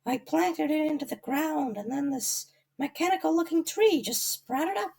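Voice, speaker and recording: A woman voicing an earnest animatronic stage-show character in an audio drama, theatrical and creaky. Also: high-pitched, lively intonation, moderate pace, a little mellow.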